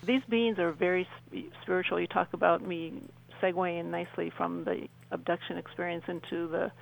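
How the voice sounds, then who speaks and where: Gravelly-voiced woman giving an earnest personal account. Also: lowish voice, steady tone, normal speed, poor audio. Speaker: radio show guest.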